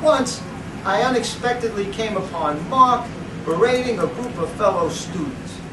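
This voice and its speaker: A man's voice: sits high, expressive, a little slow. Theatrical man in a noisy, echoey room. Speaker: presenter reading at a book event. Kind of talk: dramatic reading and speech to a live audience. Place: indoor library event room. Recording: roomy, noisy, distant camera microphone.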